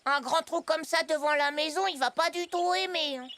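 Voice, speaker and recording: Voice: high voice, moderate pace, heavy background noise. An actor voicing a chatty, enthusiastic kid in a cartoon. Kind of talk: French cartoon dubbing.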